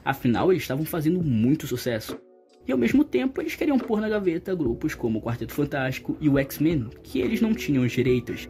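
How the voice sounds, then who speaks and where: A Brazilian man with a fairly high, soft and mellow voice narrates a scripted opinion video. He addresses viewers directly as the host of the channel. Light music plays behind his voice. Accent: Brazilian Portuguese. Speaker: YouTube video essay host. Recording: background music under the voice.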